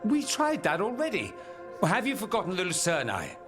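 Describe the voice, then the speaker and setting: A suave, theatrical fantasy mage, very animated. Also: male voice, sits high, fairly quick, faint music behind, exaggerated voice. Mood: insistent. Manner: theatrical, wry, eloquent. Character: suave, ambitious male fantasy mage and scheming rebel. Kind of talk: dramatic video game dialogue acting.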